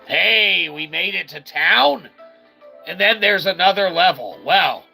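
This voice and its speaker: Male gamer, creaky higher-pitched voice, wry and casual play-by-play, talks back to the game, noisy recording. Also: lively intonation, slow pace, bright, forceful tone, faint music behind. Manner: wry, talks to the game and its characters, mild swearing. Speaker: host of a gameplay video.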